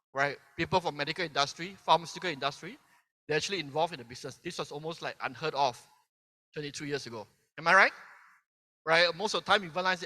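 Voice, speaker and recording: A man's voice: a little high, pushed and bright. A man in his fifties from Malaysia giving a motivational convention speech, tagging lines with "right?" and asking the crowd questions. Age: 50s. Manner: peppers sentences with "right?", asks the crowd questions. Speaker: keynote speaker. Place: large convention hall. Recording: long hall reverb from a stage.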